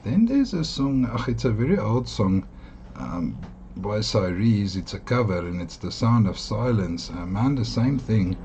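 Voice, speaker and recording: A South African man whose first language is Afrikaans, giving a casual earphone review in a halting way, full of fillers and restarts, with enthusiastic asides. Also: lowish voice, sing-song, warm tone, lo-fi sound, a little hiss or hum.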